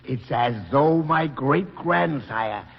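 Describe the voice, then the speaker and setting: A fretful, excitable town councillor with a high, lively voice that is gravelly and husky. He talks slowly. The sound rings in a long reverb and is dull, like a 1940s radio broadcast. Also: heavily acted. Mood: anxious. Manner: jittery, exclaiming. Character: anxious male town councillor, easily excited.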